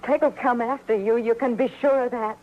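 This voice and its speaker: An American woman playing a desperate but plucky runaway dance hall girl in an old-time radio western, with a halting, pleading, dramatic delivery. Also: fairly high pitch, steady tone, phone-quality sound, close to an everyday voice. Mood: pleading, bitter. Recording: slightly noisy old radio broadcast recording.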